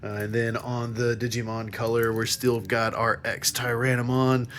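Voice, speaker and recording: A man's voice: mid-range voice, little pitch movement, normal speed, a bit bright, a little hiss or hum. A man talking casually and enthusiastically to viewers like a geeky fan vlogger, chatting through handheld virtual pet games.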